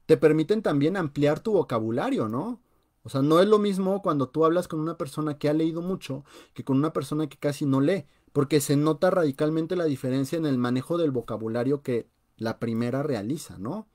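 A man's voice: fairly high pitch, fairly quick, darkish tone. Young Mexican man on a webcam talk. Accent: Mexican Spanish. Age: young adult. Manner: conversational, emphatic, leans on filler "o sea". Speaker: personal finance host. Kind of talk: live-streamed finance talk. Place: small room at home, indoors. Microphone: webcam on a video call.

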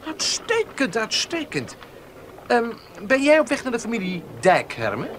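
A man's voice: extremely high pitch, wildly swooping pitch, measured pace, fairly bright tone, heavily acted. Flippant young man, wildly swooping delivery. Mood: breezy. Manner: mock-grave, teasing. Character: flippant young male student, mocking and theatrical. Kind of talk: 1970s radio play acting. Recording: noisy 1970s radio drama recording.

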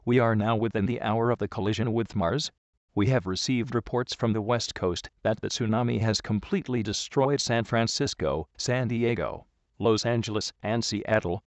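Lowish male emergency news announcer. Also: moderate pace, no room sound, studio quality. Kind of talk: scripted emergency news bulletin.

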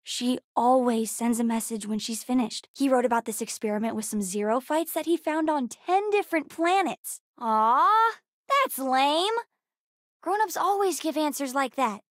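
A woman voicing a bright, curious, bookish young girl in a space video game, chatty and earnest in a childlike way. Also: high voice, expressive, normal speed, dry recording, studio quality. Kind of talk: video game character dialogue.

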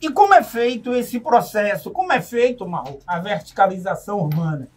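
A man's voice: high voice, animated, a little fast, far from the mic, soft background music. A man hosting a radio interview, asking upbeat leading questions in a studio. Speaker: radio show host.

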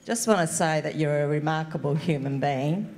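An older woman with a very low, gravelly voice, asking a warm, direct question from the audience. A long hall reverb and a faint crowd murmur sit around her. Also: normal speed. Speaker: audience member, nurse. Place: large hall among an audience. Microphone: roving handheld mic.

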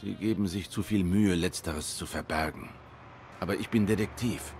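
Deep-voiced brooding detective tomcat. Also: male voice, normal speed, darkish tone, faint music behind. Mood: earnest, restrained. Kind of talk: noir game dialogue acting.

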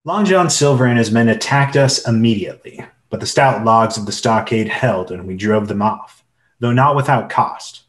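A man's voice: mid-range voice, normal speed. A man giving dramatic scripted narration as the storyteller of an adventure radio play.

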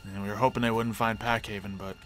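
A man's voice: on the low side, little pitch movement, fast talker, over music, natural voice. A man playing a loyal, easygoing fighter in a fantasy roleplay, talking in a casual, agreeable way. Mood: matter-of-fact. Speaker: roleplay performer. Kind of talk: improvised fantasy roleplay acting.

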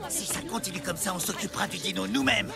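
A man voicing a hostile, accusing adult caveman in a French cartoon, forceful and scornful. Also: high-pitched, expressive, quick pace, vocal fry, heavily acted. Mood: accusing, angry. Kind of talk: French animated cartoon dub acting. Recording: music under the dialogue.

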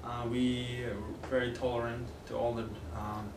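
Young Kazakh man, mid pitch, teaching language on video; halting, filler-heavy delivery, recorded by a distant camera in a noisy, echoing classroom. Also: flat delivery. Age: young adult. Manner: hesitant, many fillers and restarts. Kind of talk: casual video lesson. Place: classroom with whiteboard, indoors. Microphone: far camera. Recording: echoey room, distant mic, noisy.

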